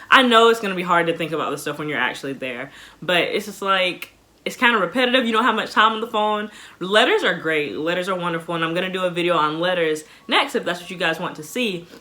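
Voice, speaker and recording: A woman's voice: lowish voice, bright, forceful tone. A woman in her twenties with an American accent, giving casual advice to viewers in an informal, emphatic, conversational way. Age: 20s. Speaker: vlogger giving basic training tips.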